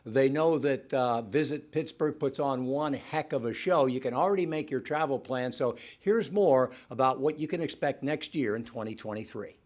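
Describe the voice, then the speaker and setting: A man hosting a show, presenting in a measured commentary style. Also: medium pitch, darkish tone. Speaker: show host. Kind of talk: studio presenting.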